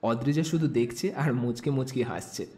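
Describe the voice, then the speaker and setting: A man's voice: medium pitch, normal speed, mellow. A man narrating a scripted audio story.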